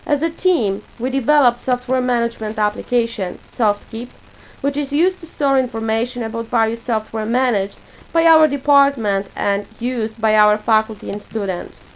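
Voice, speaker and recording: A woman in her forties from Poland, a native Polish speaker, reading a prepared self-introduction for her portfolio in a measured, list-like way. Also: a little high, poor audio. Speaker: graduate student presenting a portfolio.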